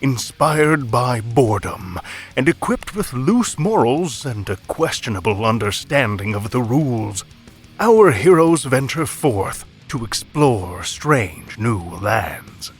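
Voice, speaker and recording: A man's voice: mid-range voice, sing-song, slow, breathy edge. A man reading a scripted intro as a podcast narrator, in a mock-epic, theatrical manner. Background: guitar music.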